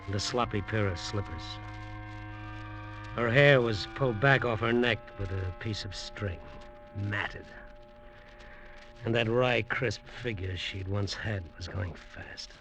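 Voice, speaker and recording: Hard-boiled radio-drama hustler. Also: male voice, fairly low pitch, slow, pauses often, low-quality recording. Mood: wry, brooding. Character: scheming, embittered hustler narrating his island venture. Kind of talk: 1940s-50s radio drama acting and first-person narration. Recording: old radio broadcast recording, music behind.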